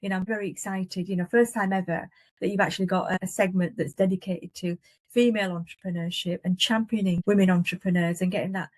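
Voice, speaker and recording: A woman's voice: on the low side, steady tone, fast talker, a little mellow, dry recording. A middle-aged woman with a broad Yorkshire accent, giving earnest, emphatic interview answers in short listed phrases. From England. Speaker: guest, business leader.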